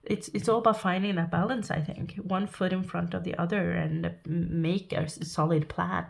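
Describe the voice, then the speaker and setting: A woman with a Norwegian accent giving a pick-a-card tarot reading, sniffly and halting, restarting her thoughts as she speaks. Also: lowish voice, fairly even intonation, creaky. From Norway. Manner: halting, thinking aloud with restarts and soft laughs. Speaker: tarot reader.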